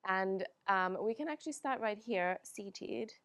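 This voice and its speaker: A woman whose first language is Finnish, teaching a yoga class in a calm, unhurried way with frequent pauses and "um"s. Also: medium pitch, clean tone, no room sound. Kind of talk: guided yoga class instruction.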